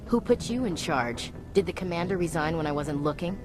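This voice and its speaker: A woman plays a wary, prickly soldier in a sci-fi game. Her voice is slightly low and her delivery blunt, over faint music. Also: animated, fairly quick, lightly acted. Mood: challenging. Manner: blunt, challenging. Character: distrustful, blunt female soldier, loyal but prickly. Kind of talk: video game acting.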